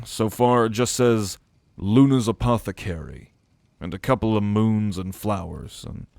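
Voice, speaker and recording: A man acting a brooding, dutiful ancient celestial being in an ASMR audio roleplay, speaking in a measured, formal, pensive way. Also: fairly low pitch, no echo. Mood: tender, wry. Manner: measured, pensive, formal phrasing with deliberate pauses.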